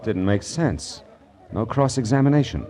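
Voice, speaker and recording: A man's voice: mid-range voice, lively intonation, normal speed, warm tone, some chatter behind, natural voice. A man playing a wry, hard-boiled private detective, narrating in clipped 1940s radio noir style. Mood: wry, storytelling. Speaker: lead actor and narrator. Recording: old radio broadcast with music bed.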